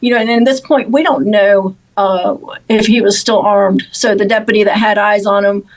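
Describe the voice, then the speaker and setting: A woman speaks as a law enforcement official in an interview. Her pitch is average for a woman, and she explains things in a measured, halting way, often doubling back on words. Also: steady tone, low-quality recording. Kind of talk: interview answers about an investigation.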